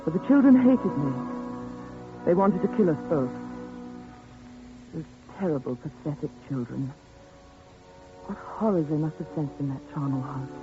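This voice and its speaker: A woman's voice: deep voice, expressive, measured pace, pauses often. An actress playing a shaken woman who recounts a horror in a letter, in a 1940s radio drama. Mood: horrified. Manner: haunted, intense, dramatic delivery. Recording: tinny 1940s radio broadcast with music.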